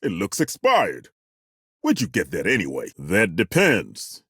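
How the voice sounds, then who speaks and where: Gruff, husky old-soldier dwarf for a fantasy game, unhurried and dry, bright edge in the tone. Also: male voice, deep voice, huge pitch swings, dry recording, exaggerated voice. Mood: dry banter. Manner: dry, wry and plainspoken, with roaring battle cries. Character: gruff, folksy middle-aged male dwarf fighter. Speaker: party companion. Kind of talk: video game voice acting, barks and banter.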